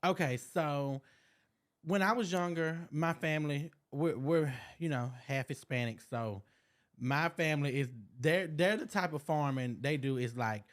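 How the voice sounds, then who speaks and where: A man's voice: a little high, measured pace. A man in his mid twenties talking as a guest in a casual interview, blunt and rambling. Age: 20s. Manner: laid-back, blunt, rambling. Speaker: podcast guest.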